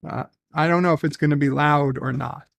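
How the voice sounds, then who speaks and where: A man's voice: fairly high pitch, steady tone, a little fast, dry recording. A man from New hosting a casual stream, reading viewer messages and rambling with many self-corrections. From New York City. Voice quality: gravelly. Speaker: stream host.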